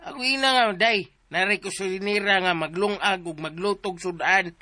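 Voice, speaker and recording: A man's voice: sits high, normal speed, sharp tone, no room sound, studio quality. A man with a Filipino accent playing a cheeky, bumbling household helper in a comic radio drama, chattering and repeating himself. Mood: deferential, chatty. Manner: chattery, repetitive, teasing.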